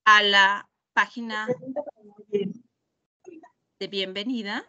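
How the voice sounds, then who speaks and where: A woman with a Mexican Spanish accent leading a webinar over a video call, walking through a website step by step in a deliberate, pausing way. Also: mid-range voice, little pitch movement, pushed and bright, muffled recording. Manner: slow, deliberate, pausing often. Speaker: webinar trainer. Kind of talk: screen-share product demonstration.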